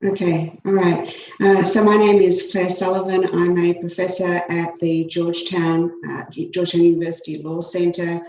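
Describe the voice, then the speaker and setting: Australian woman with roomy, low voice. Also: level tone, normal speed, hardly any pauses, warm tone, dull audio, slight background noise. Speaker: law professor, invited expert. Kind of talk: remote committee testimony. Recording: roomy echo.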